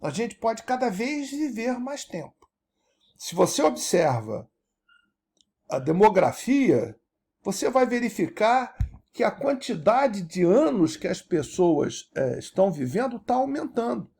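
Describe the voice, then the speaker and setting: Older male lecturer, 70s, gravelly and husky, animated delivery with a wide, swooping pitch. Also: measured pace. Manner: animated teacher, keeps asking "né?" to check understanding. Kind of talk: recorded university-style lecture.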